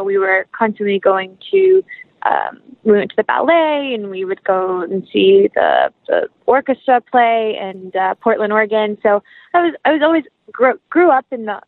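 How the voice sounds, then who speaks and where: A woman's voice: mid-range voice, no room sound. Young American woman, muffled dry audio. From North Carolina, United States. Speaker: actress, interview guest. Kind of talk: casual conversational interview.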